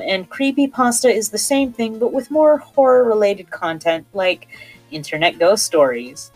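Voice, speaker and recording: A woman's voice: medium pitch, low-quality recording. A woman hosting a horror podcast, talking in a conversational, playful and enthusiastic way. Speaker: podcast host.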